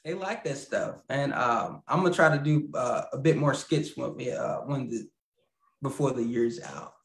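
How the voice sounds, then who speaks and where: A man's voice: mid-range voice, moderate pace. Man with echoey video-call voice. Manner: rambling, laughs often, trails off quietly. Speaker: podcast guest, puppeteer. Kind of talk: casual interview chat over video call. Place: indoor home room with lamp. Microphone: video call, webcam.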